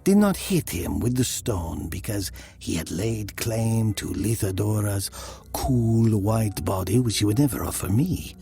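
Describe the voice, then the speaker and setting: A haunted killer in an audio drama, telling his tale as a confession. The voice is dark and gravelly, brooding and very deliberate, sometimes hushed, with music underneath. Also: fairly low pitch, animated. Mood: grim confession. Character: haunted, guilt-ridden Italian male killer confessing his crime. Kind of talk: dramatic first-person literary narration. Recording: music behind the voice.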